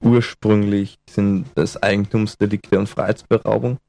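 A man's voice: on the low side, flat delivery, normal speed, vocal fry, mellow. A man co-hosting a casual chat, giving explanations and dry joking asides. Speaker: podcast co-host.

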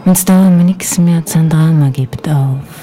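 Give me a woman in a radio studio echoing a chanted poem line by line, deep and mellow. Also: measured pace. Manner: echoing each line back, often whispered. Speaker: studio guest joining a recital. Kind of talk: call-and-response poem recital.

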